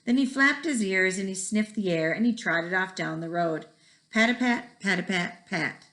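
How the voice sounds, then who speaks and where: A woman's voice: mid-range voice, expressive, moderate pace, a bit bright. A woman reading a children's picture book aloud expressively, with a rhythmic delivery that draws out repeated words. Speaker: read-aloud storyteller. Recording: echoey room.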